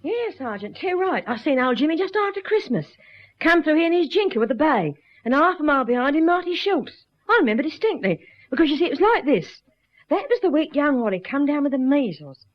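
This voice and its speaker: A woman's voice: high-pitched, very animated, a little fast. An actress playing a chatty, rambling rural Australian wife and mother in a radio drama. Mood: eager, chatty. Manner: garrulous, rambling, colloquial. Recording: dull lo-fi radio recording.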